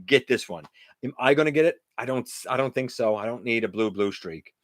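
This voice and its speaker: A middle-aged man with a loud, carrying voice hosts a casual livestream, chatting and answering viewers' comments. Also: medium pitch, a little fast, dry recording, clean studio sound. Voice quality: loud voice that carries. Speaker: livestream host; teacher. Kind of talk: casual live-stream chat with viewers.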